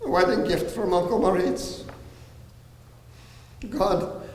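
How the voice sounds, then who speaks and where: A stage performer playing a proud, insistent war survivor in a play. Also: female voice, on the low side, takes it slowly, a little hiss or hum. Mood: wistful. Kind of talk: live stage play dialogue. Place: theatre stage, recorded from a distance. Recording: echoey hall from afar.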